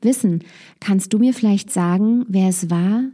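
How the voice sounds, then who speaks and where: A woman reading as Love, soft and pleading. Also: mid-range voice, measured pace, natural voice. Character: gentle female Love, pleading for rescue.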